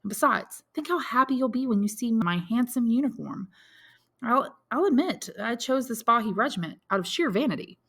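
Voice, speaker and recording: A woman's voice: fairly high pitch, expressive, moderate pace, fairly bright tone, voice pushed up. A woman voicing an earnest, resolute young man who firmly reassures his mother in an audiobook. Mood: reassuring. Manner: firm, reassuring, insistent. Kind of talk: audiobook character dialogue.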